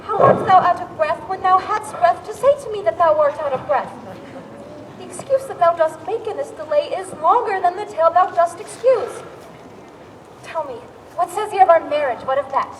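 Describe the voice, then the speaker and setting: A woman's voice: extremely high pitch, normal speed. A woman playing a young, impatient, lovestruck girl, declaiming Shakespearean verse with eager theatrical pleading. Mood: exasperated. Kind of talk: Shakespearean stage acting, verse. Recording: distant echoey hall, background noise.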